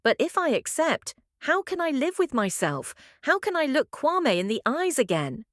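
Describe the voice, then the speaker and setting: A woman's voice: sits high, big pitch swings, moderate pace, no room sound, clean studio sound, voice pushed up. A woman voicing a devoted, anguished young wife who speaks earnestly and pleadingly in a told folktale.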